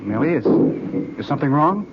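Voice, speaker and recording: An actor playing a busy, commanding archaeologist husband in an old-time radio drama. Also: male voice, a little high, big pitch swings, fairly quick. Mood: brisk, concerned. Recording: noisy, muffled old radio recording.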